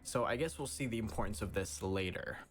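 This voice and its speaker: A man's voice: lowish voice, fairly quick, heavy background noise. A man giving casual, analytical reaction commentary as host, thinking aloud in an informal way. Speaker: host of a horror video reaction.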